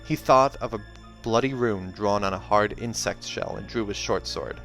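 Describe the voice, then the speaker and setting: A man hosting a read-aloud, moving between expressive storybook narration and casual, chatty asides. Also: on the low side, moderate pace, gravelly, rough recording. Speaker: reader and channel host. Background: soundtrack music.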